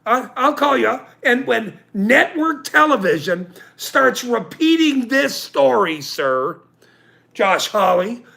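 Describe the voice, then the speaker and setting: A man in his forties hosting a livestream, ranting angrily with profanity and loud outbursts, then joking about himself. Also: very high voice, very animated, slow, gravelly. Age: 40s. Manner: angry, profane, with loud outbursts and self-mocking laughs. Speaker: channel host. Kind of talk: livestream rant.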